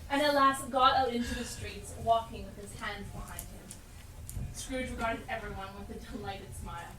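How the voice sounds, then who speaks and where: A woman's voice: on the high side, moderate pace, distant mic, lightly acted. A woman acting as the storybook narrator of an amateur stage play, in a dramatic scripted telling. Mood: storytelling. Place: theatre stage, live performance. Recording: echoey live stage recording, clear noise.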